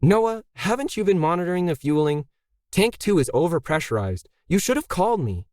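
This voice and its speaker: A man voicing a brisk, bossy woman in her fifties who chides and snaps impatiently in an audiobook story. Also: high-pitched, big pitch swings, darkish tone, no echo, studio quality, voice pushed up. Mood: impatient, stern. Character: brisk, scolding fifty-something woman, launch facility owner.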